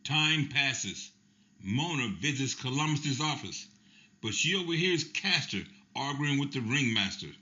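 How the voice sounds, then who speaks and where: A man giving scripted narration in an even, matter-of-fact way. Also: medium pitch, unhurried. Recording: dull lo-fi, faint music.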